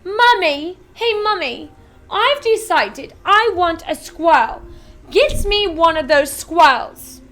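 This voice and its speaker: A woman's voice: very high-pitched, lively intonation, slow, fairly bright tone, faint music behind, pitched above the performer's own voice, exaggerated voice. A woman reading aloud and voicing a spoiled, demanding little rich girl who whines shrilly and insists on getting her way.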